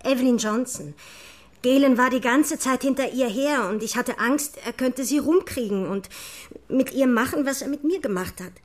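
A woman's voice: high-pitched, normal speed, close to an everyday voice. An actress playing a frightened teenage girl in a crime radio drama, confessing in a hesitant, anxious manner. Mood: evasive, anxious.

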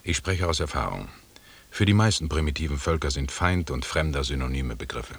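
Very deep, husky expedition commander. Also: male voice, expressive, heavily acted. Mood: composed, explaining. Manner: measured, formal, authoritative. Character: calm, imperious British expedition leader. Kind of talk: German radio drama acting.

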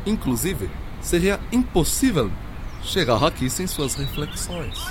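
Man playing an animated, learned physicist. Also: on the high side, a little slow, noisy background. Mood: patient explaining. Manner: didactic, formal, courtly. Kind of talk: radio drama acting.

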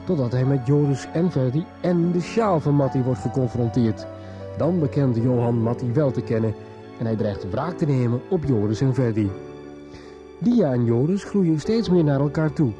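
A man reading a scripted recap as the narrator of a radio soap. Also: mid-range voice. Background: prominent music bed.

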